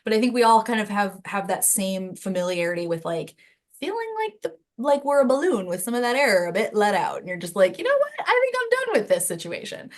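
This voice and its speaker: A woman's voice: mid-range voice, big pitch swings, brisk. A woman hosting an online session in a casual, chatty and slightly rambling way, speaking over a video call. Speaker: host of an online learning session. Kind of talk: casual moderated chat.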